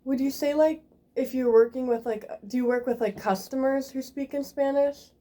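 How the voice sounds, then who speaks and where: Mellow young woman asking hesitant questions. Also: high voice, moderate pace. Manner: hesitant, filler-heavy questions. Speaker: student interviewer.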